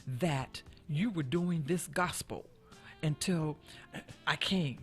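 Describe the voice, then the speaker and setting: A woman's voice: low voice, takes it slowly, faint music behind. Enthusiastic woman hosting a gospel talk show. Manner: enthusiastic, affirming, repeats phrases. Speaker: host.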